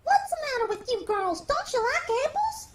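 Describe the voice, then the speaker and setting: A woman's voice: very high-pitched, big pitch swings, moderate pace. A woman voicing an eerie undead filly pony, sweetly coaxing in a piercing childlike voice for a horror story. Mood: creepy, insistent. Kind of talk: dramatic horror fan fiction reading.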